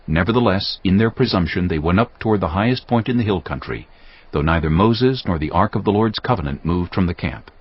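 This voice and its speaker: A man's voice: deep voice, animated, brisk, breathy edge, no echo, lo-fi sound. A man narrating scripture as a scripted, dramatic story.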